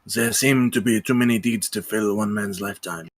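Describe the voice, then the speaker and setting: A man playing a brooding, controlled, vengeful count in a dramatic table read, with a husky voice. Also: lowish voice, moderate pace, natural voice. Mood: cold, commanding.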